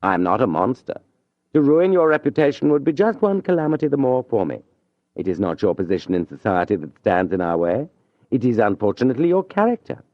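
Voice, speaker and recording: A man's voice: medium pitch, big pitch swings, a little fast, dry recording, natural voice. A man acting out a proud young schemer torn between pride and love. His speech is intense and declamatory, sometimes coolly controlled. The recording is dull and dry. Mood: icy. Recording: dull dry studio audio.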